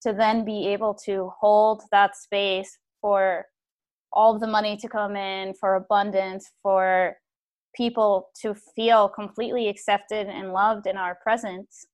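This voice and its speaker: A woman hosting a podcast, talking in a lively, chatty way and laughing often. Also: medium pitch, unhurried. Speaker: podcast host. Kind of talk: casual podcast conversation.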